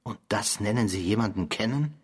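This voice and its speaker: A man's voice: lowish voice, a little fast, husky. An actor in a German radio drama playing a bitter, indignant widower, strained as he confronts his wife's killer.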